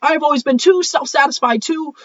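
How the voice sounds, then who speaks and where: A woman's voice: high voice, brisk, no room sound, dull audio, natural voice. A woman playing a haughty, self-important widow in a comedic play reading, speaking in a grand, emphatic, theatrical way. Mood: lofty, self-righteous.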